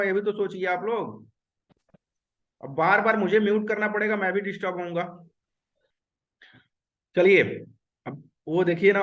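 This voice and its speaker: A man's voice: high-pitched, muffled recording. Earnest man teaching a webinar. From Uttar Pradesh, India. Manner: earnest and repetitive, mixes English words. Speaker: webinar instructor. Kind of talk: online webinar lecture. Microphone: video call.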